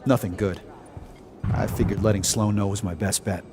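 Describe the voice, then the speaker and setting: A man as a low-voiced, wary, blunt colonist. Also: fairly even intonation, moderate pace, music in the background, lightly acted. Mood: subdued, wary. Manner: blunt, terse. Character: wary, resentful male colonist witness, blunt. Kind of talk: video game cutscene acting.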